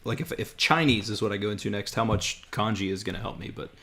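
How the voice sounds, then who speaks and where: A man's voice: fairly low pitch, fast, sharp tone. A man hosting a podcast in casual chat, often repeating words and trailing off with fillers. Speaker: podcast host.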